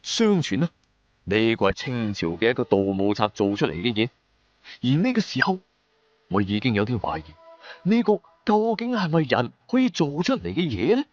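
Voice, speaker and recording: A man's voice: mid-range voice, big pitch swings, moderate pace, dry recording, muffled recording. A man narrating a scripted first-person audio drama in Cantonese-accented speech, in a colloquial, dramatic storyteller's manner. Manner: colloquial storyteller delivery with sentence-final particles.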